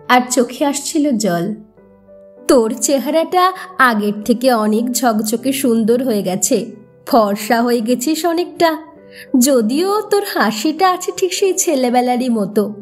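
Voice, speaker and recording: A woman reciting a narrative poem in an expressive, measured manner with deliberate pauses. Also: high voice. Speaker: poetry reciter. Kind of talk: dramatic Bengali poem recitation, first-person narrative.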